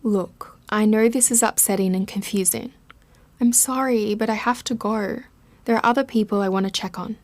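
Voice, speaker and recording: A woman playing an evasive but soothing dead friend in an audio drama, speaking in a measured, guarded way. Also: mid-range voice, fairly even intonation, darkish tone, dry recording, lightly acted.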